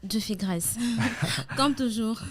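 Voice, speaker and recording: A woman's voice: mid-range voice, slow. A woman, a co-host making playful, teasing asides. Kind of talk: casual chat.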